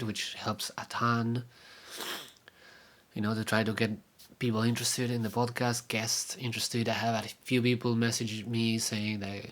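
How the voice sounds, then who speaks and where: A man's voice: mid-range voice, fairly bright tone. Argentine man rambling in a casual update. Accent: Argentine Spanish. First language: Spanish. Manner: rambling, halting, with many fillers and restarts. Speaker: vlogger and podcaster.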